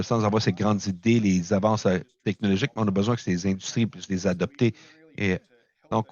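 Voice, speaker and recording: A man giving a conference talk over a video call, halting, with many fillers, speaking French as a second language. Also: on the low side, a little mellow, no room sound, highs cut off. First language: not French. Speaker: presenter at a launch event. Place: remote location, speaking in from afar.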